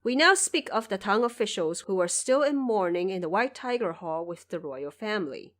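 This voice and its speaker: A woman giving scripted storytelling narration for an audio drama, with expressive, careful phrasing. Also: medium pitch, normal speed.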